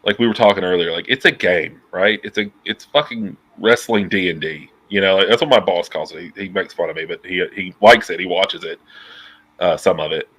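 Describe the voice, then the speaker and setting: A nasal man in his thirties, chatting loose and profane on a video call. His tone is bright and forceful, sitting on the lower side of a man's range. He picks up over earbuds from a dim room at home. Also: fairly quick, slightly rough, low-quality recording. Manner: profane, animated, gesturing a lot. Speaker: guest. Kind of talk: casual interview chat.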